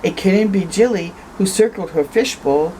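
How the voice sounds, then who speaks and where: A woman reading a picture book aloud to children as a storytime host, expressive, with conversational asides. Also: medium pitch, moderate pace, slight background noise, low-quality recording. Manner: conversational asides, tags questions with "eh".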